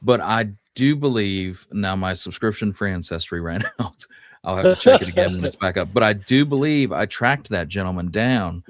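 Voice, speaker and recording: A man's voice: fairly low pitch, lively intonation, moderate pace. A man co-hosting a history podcast, talking casually and musing his way through the details. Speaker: podcast co-host.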